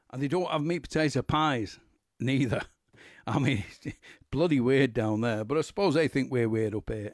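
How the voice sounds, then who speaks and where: A singer-songwriter from Manchester with a Mancunian accent, chatting casually and wryly at home while introducing a song. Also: male voice, medium pitch, no echo, clean studio sound. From Manchester, England. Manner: chatty, wry, broad humor. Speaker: singer-songwriter hosting a live stream. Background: guitar.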